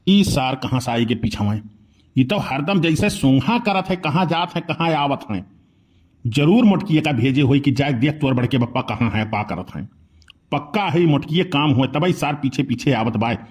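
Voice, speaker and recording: A man's voice: mid-range voice, animated, moderate pace, a little mellow, slightly rough. A man voicing a grumpy henpecked village uncle in a comedy cartoon, complaining and blustering with an Awadhi accent. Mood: irritated. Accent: Awadhi, eastern Uttar Pradesh.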